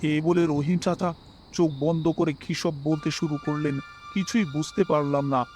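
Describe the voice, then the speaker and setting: A man's voice: a little high, steady tone, moderate pace. A man reading a scripted first-person horror story as its narrator. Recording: music behind the voice.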